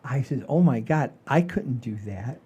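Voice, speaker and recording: A middle-aged or older man hosting a comedy show, telling stories casually in a rambling, animated way with salty language. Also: mid-range voice, measured pace, slightly rough, highs cut off. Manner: rambling, animated storyteller with frequent swearing. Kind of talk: casual chat, storytelling.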